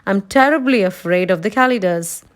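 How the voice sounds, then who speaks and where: A woman's voice: mid-range voice, expressive, moderate pace, natural voice. A woman voicing a big cowardly male lion from a storybook, fretful and earnest but willing. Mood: afraid.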